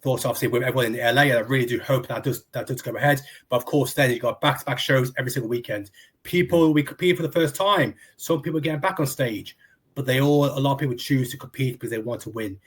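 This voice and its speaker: A man's voice: medium pitch, quick pace, fairly bright tone, slightly rough. Chatty British male interview host. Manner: chatty, friendly, calls people "mate". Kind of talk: casual interview hosting.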